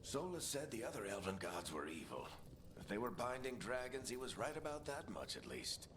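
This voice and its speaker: An actor playing a weary, wry middle-aged adventurer and guide in a video game. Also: male voice, medium pitch, big pitch swings, fairly quick, noisy background, close to an everyday voice. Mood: grave. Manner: wry, knowing. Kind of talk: dramatic video game acting. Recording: loud game music behind.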